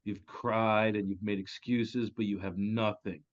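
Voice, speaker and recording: A man's voice: lowish voice, level tone, a little slow, natural voice. A man playing a cocky, crude crime boss with flippant, theatrical menace in a comedy table read. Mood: gloating, scornful. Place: virtual table read over video call. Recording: dull, lo-fi online call.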